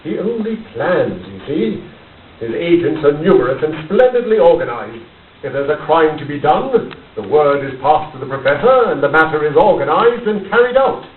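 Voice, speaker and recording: A man acting in a radio drama as a driven, weary, guarded gentleman detective, speaking in a formal, grave, deliberate and dramatic way. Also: on the high side, very animated. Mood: grave, admiring. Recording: tinny band-limited, roomy, distant, faint hiss.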